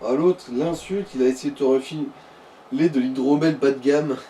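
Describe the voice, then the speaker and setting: Distant, mellow Frenchman chatting over a game. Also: medium pitch, steady tone, normal speed. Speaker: co-commentator. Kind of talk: casual gaming chat. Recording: distant mic with background noise.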